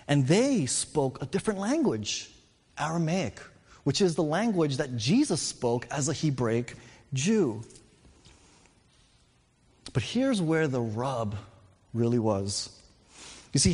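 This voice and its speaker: A man's voice: on the high side, a little mellow, slightly rough, big-room sound. A middle-aged man with a New York accent, preaching a sermon as pastor and explaining with rising and falling emphasis.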